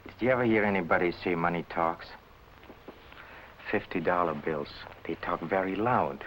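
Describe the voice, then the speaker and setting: A man in a 1950 film noir playing a sly, oily blackmailing businessman, halting and repeating himself with mock friendliness. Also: deep voice, fairly bright tone. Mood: sly, insinuating. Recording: noisy old film soundtrack.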